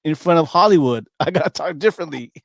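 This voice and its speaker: A man's voice: on the high side, expressive, fast talker, creaky, no echo. A man chatting casually in a group as a podcast co-host, using slang and repeating phrases for emphasis. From grew up on military communities in Asia and California.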